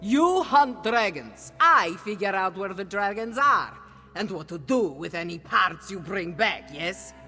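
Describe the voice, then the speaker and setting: An actor playing a brusque, self-important bookkeeper in a fantasy video game, talking in a clipped, matter-of-fact way. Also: male voice, high-pitched, expressive, a little slow, sharp tone, slightly rough, full character voice. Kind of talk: scripted video game dialogue. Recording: reverberant hall, game music underneath.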